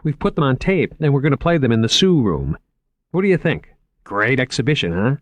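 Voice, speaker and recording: A man playing a high, eager, stammering young curator. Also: sing-song, fairly quick, no echo, close to an everyday voice. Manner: eager, stammering when flustered. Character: eager shy young male museum curator.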